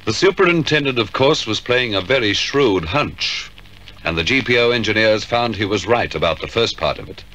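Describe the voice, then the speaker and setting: A man giving formal, deliberate scripted narration for a 1950s radio crime drama. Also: lowish voice, lively intonation, sharp tone. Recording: hissy 1950s radio recording.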